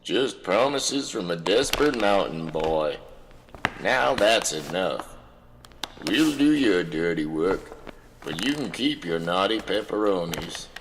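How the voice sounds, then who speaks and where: A man playing a gruff, weary mountain father, stern and protective, in a comedic radio drama. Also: deep voice, animated, takes it slowly, in a large hall, a little hiss or hum, full character voice.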